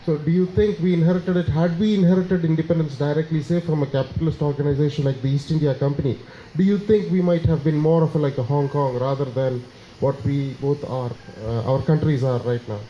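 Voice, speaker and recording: A man's voice: a little high, fairly even intonation, a little fast. A man asking a long, discursive question with side remarks. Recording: roomy, dull lo-fi with faint noise.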